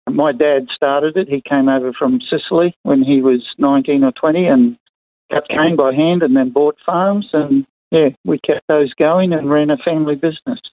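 An older Australian man from Queensland answering interview questions in a plain-spoken, conversational way, pausing between short phrases. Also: on the high side, fairly even intonation, dry recording. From far north Queensland, Australia. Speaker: interview guest, retired sugarcane farmer. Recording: narrow phone-like line, dry.

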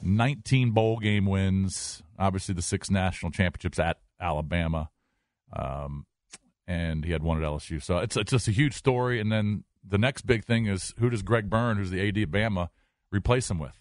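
Low-voiced man talking sports, dry studio sound. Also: normal speed, dry recording. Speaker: sports radio co-host. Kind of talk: casual sports talk. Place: radio studio. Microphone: close studio microphone.

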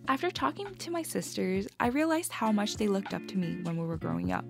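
A woman's voice: mid-range voice, sing-song, fairly quick, gravelly, soft background music. A young woman hosting a personal podcast, talking about herself in a confiding narration style. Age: young adult. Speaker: podcast host.

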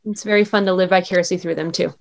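A woman's voice: lowish voice, steady tone, fast, creaky, darkish tone. A young adult woman, a former sports anchor, talking casually as an interview guest in an upbeat, chatty way with lots of "um" and "like".

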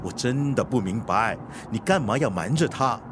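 A man acting a loyal chauffeur in a radio drama, nagging his master with earnest, blunt questions. His mid-pitched voice moves in lively swings, with clear vehicle noise around him. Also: moderate pace, close to an everyday voice. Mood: nagging, bewildered.

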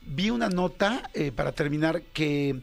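A man's voice: on the high side, expressive, a little slow, gravelly. A man hosting a casual interview, asking probing questions in a teasing, slangy way. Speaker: host.

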